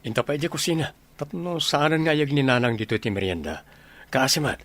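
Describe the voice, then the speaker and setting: A man's voice: mid-range voice, lively intonation, fairly quick, a bit bright, natural voice. A man acting an easygoing homeowner in a radio drama, with an Ilocano accent. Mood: casual. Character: easygoing man, the owner of a house. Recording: noticeable background noise.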